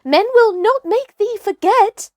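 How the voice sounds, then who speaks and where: A woman's voice: very high voice, expressive, unhurried, darkish tone, no echo, studio quality, pitched above the performer's own voice. A woman voicing an anxious, loyal elder-brother wolf in a storybook, speaking in earnest, archaic phrases. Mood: anxious warning. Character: eldest wolf cub brother, gruff-tender, anxious, loyal.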